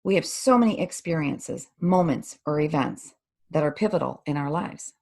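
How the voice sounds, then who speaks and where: A woman with a fairly low voice hosts an interview. She sounds composed and guides her guest with leading, tag-ended questions. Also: lively intonation, normal speed, creaky, a little mellow. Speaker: interview host.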